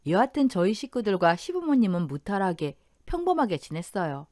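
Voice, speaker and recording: A woman's voice: mid-range voice, sing-song, fairly quick, a little mellow, no echo, studio quality. A woman reading a scripted first-person life story as a radio drama narrator.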